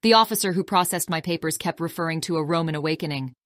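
A woman's voice: sits low, quick pace, fairly bright tone, no echo. An actress playing a businesslike female former army officer in an audiobook drama. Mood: matter-of-fact.

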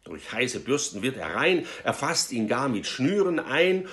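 Theatrical middle-aged man reading, very animated intonation. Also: mid-range voice. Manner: theatrical, deliberate, with emphatic pauses. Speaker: storyteller reading aloud. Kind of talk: dramatic literary reading of a verse fable. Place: small room at home, indoors.

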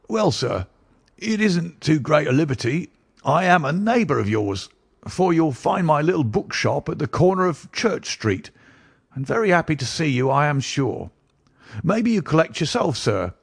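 A wizened old book collector played by a male reader speaks in a croaking, raised voice that swings up and down. He is deferential and wheedling, rambling politely like a storybook character. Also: on the high side, big pitch swings, moderate pace, no echo, clean studio sound, voice pushed up, heavily acted. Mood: ingratiating. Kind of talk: audiobook character voice.

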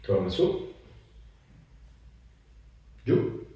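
A man's voice: very slow, warm tone. A man in his thirties, a chiropractor putting short clinical questions and instructions to a patient. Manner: brief, repeated questions and instructions. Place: small treatment room, indoors. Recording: very echoey room, distant mic, faint noise.

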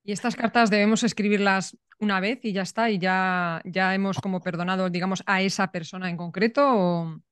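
A woman's voice: medium pitch, fairly even intonation, a little fast, hardly any pauses, smooth, dry recording. A woman in her forties interviewing conversationally as a podcast host, speaking into a close desk microphone. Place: home room with bookshelves, indoors.

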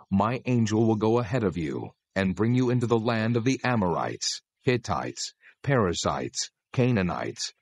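A commanding divine lawgiver, low voice, solemn n measured, close dry audio bible drama. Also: male voice, a little mellow, no echo, heavily acted. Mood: stern, promising. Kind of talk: dramatized scripture reading.

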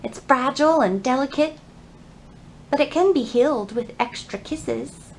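A woman in her thirties presenting a children's storytime, with expressive, carefully phrased delivery meant for small children. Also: high-pitched, unhurried, echoey room, rough recording. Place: indoor library room.

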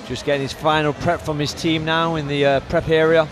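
A man's voice: on the high side, little pitch movement, fairly quick, music in the background. A man giving live commentary at a fight event. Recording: crowd heard behind. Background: event crowd.

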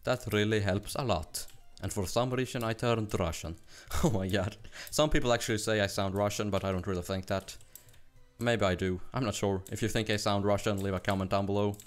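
A young man from Sweden with a Swedish accent, chatting fast and rambling with laughs while narrating his gameplay. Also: on the low side, steady tone, faint music behind. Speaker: gaming YouTuber. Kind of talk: casual gameplay commentary.

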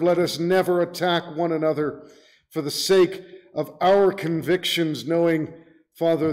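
Male pastor, middle-aged, higher-pitched voice, earnest and deliberate sermon delivery. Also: a little mellow. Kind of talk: sermon and closing prayer. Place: small church room, indoors.

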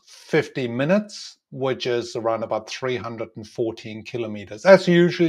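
Middle-aged male tour guide explaining routes. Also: mid-range voice. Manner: relaxed, many ums and uhs, explaining. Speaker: tour guide and travel designer. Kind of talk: casual map walkthrough narration.

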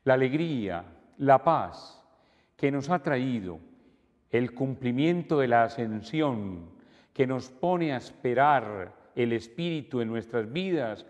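Older man, priest, calm measured Mass prayers, mid-pitched voice, long church reverb. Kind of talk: celebrating Mass. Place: church altar, indoors.

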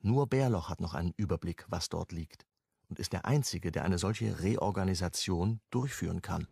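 A man's voice: deep voice, normal speed, gravelly, a little mellow, slightly rough, no echo, clean studio sound, exaggerated voice. A man narrating a scripted radio crime drama.

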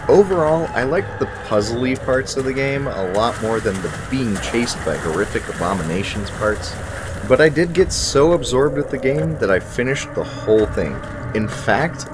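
Man, thirties, game reviewer, lowish voice, animated melody, scripted commentary, dry emphatic delivery.

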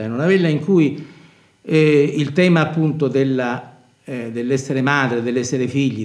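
An older male academic reflects on literature. He speaks deliberately, pausing to find the precise word, with a scholarly bearing. The sound is roomy and echoing, like an indoor stage. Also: mid-range voice, darkish tone. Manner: scholarly, deliberate, hesitant searching for words. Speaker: university professor of performing arts. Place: dark indoor theatre stage set, seated at table.

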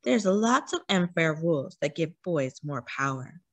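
Expressive, husky-voiced woman reading to children. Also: low-pitched, unhurried, dry recording. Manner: expressive, addresses young listeners with questions. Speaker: read-aloud storyteller for children. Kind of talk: children's picture book read-aloud.

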